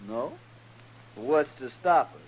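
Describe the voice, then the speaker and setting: Lightly noisy old radio recording, man playing taunting cattle-drive bully, Western drawl, mid-pitched, cocky. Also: animated, unhurried, natural voice. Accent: American Western drawl. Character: belligerent, swaggering male cattle-drive cowhand, goading bully. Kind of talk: 1950s radio western acting.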